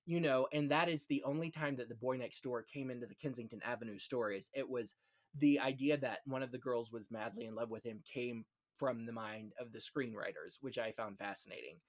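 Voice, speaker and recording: A man hosts a casual podcast about classic film. His voice sits at a middle pitch, and he talks in a chatty, conversational way, dropping in small asides as he goes. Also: brisk. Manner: chatty, punctuates talk with "you know" asides. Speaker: podcast host.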